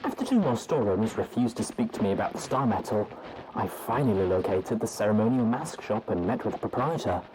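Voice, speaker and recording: A man's voice: fairly low pitch, expressive, brisk, background noise, close to an everyday voice. A man voicing a prim male pony royal astronomer who reads out his journal entries in a formal way.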